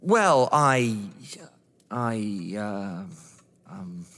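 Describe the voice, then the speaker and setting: A man's voice: lowish voice, sing-song, drawn-out words, fairly bright tone, full character voice. An actor playing a sceptical, blunt young man, a wary lover, in an audio drama. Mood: hesitant. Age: young adult. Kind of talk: theatrical audio drama acting. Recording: echoey hall-like reverb.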